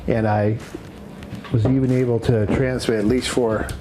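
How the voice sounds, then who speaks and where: A man giving an unscripted product demonstration in a halting, matter-of-fact way, as a presenter explaining equipment. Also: medium pitch, flat delivery, over music. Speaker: presenter demonstrating radio gear. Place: indoors in a house.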